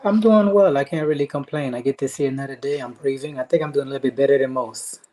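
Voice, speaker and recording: A man from Haiti, guest and motivational speaker, telling his story earnestly with frequent pauses. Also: medium pitch, dark tone, slight background noise. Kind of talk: interview answers, earnest storytelling.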